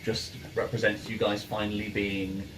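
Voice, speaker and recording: A man's voice: fairly low pitch, monotone, normal speed. A man running a tabletop game as game master, chatting casually and narrating to the players. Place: room, in-person game session. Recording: roomy, distant mic, clear background noise.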